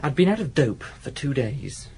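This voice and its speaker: A man's voice: medium pitch, sing-song, moderate pace, gravelly, dark tone, breathy edge, full character voice. An actor playing a sullen, evasive man in his late twenties, a defensive gigolo and addict in a radio drama. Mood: evasive, bitter.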